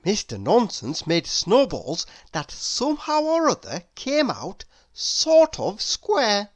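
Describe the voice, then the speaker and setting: Slow, very animated woman storyteller. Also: medium pitch. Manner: expressive, asks the listener questions. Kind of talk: children's read-aloud narration.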